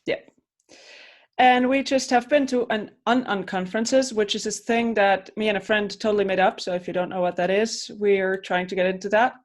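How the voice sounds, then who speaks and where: A woman's voice: mid-range voice, steady tone, normal speed. A woman from Switzerland in her thirties, co-hosting a casual podcast chat and telling stories with frequent restarts. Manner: chatty, storytelling with restarts. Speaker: podcast co-host, coach.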